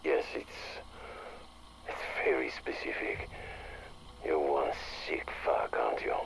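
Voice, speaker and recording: An actor playing a menacing, sardonic crime boss in a game audio log, gravelly and taunting, often prodding with a "huh". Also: male voice, extremely low pitch, steady tone, slow pace, a little hiss or hum, exaggerated voice, rough recording. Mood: sardonic, threatening. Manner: taunting, tags questions with "huh".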